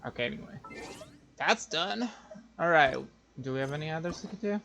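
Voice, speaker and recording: A man's voice: a little high, animated, brisk, creaky, fairly bright tone, a little hiss or hum. A man doing casual live game commentary, thinking aloud in a hesitant, wry way. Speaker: game streamer.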